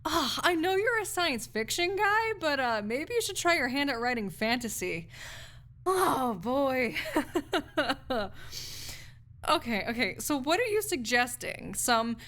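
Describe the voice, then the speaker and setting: A woman's voice: high-pitched, big pitch swings, slow, fairly bright tone, heavily acted. A woman playing a snarky, skeptical university research assistant in an audio roleplay, speaking articulately in a conversational, lecturing way with dry asides. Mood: incredulous, amused, then skeptical, teasing.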